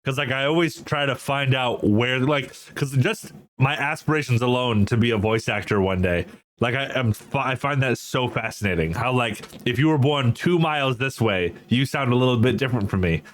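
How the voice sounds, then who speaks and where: A man co-hosting a casual podcast, talking in eager bursts with "like" in nearly every phrase. He curses freely and his voice sits in an ordinary middle pitch. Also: moderate pace, no echo. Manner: excitable, profane, lots of "like". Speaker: podcast co-host.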